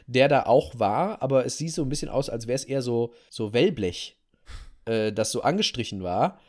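A man's voice: medium pitch, expressive, moderate pace, darkish tone. A man hosting a talk show, telling a story in a casual, conversational way and repeating phrases for emphasis. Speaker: podcast host telling a story.

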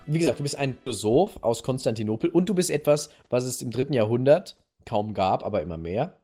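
A man hosting a podcast, telling a history story casually and playfully in a halting, slightly husky voice. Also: medium pitch, animated, mellow, soft background music. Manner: halting, playful storyteller addressing co-host directly. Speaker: podcast host.

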